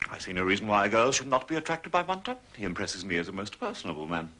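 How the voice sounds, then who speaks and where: A police chief in a period mystery drama. He is brusque and commanding, with a sharp, rough-edged voice and emphatic, varied intonation. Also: on the low side, sing-song, quick pace, full character voice. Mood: authoritative. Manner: official, brusque, interrogating. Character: stern, authoritative male chief constable. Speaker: chief constable.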